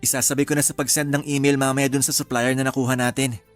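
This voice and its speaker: A relaxed, agreeable fiancé, voiced by a man in a radio drama, his pitch mid-range. Faint music plays under the scene. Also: quick pace, natural voice. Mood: easygoing. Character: easygoing groom-to-be, accommodating fiancé.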